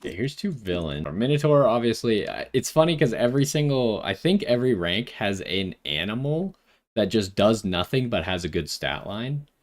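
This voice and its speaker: Male gaming video host, lower register, casual play-by-play, reasons through decisions aloud. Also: very animated, normal speed. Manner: thinks aloud while deciding. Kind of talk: casual gameplay commentary.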